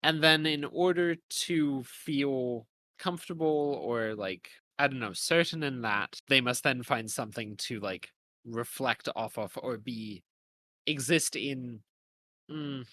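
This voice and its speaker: A man's voice: medium pitch, slow, sharp tone, no room sound. A man co-hosting a podcast, talking through ideas casually and analytically in long, winding, hedged sentences. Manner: hedging, thinking aloud in long winding sentences. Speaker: podcast co-host.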